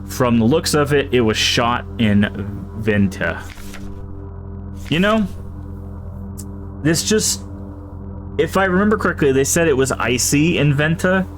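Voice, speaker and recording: Man thinking aloud over gameplay. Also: mid-range voice. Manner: thinks aloud, reads in-game items and notes. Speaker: streamer. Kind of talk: casual gameplay commentary.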